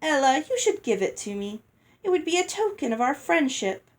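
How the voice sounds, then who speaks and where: A woman's voice: high-pitched, very animated, normal speed, voice pushed up. A woman voicing a haughty, greedy, bossy girl from a storybook, condescending and falsely sweet. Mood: smug, falsely sweet.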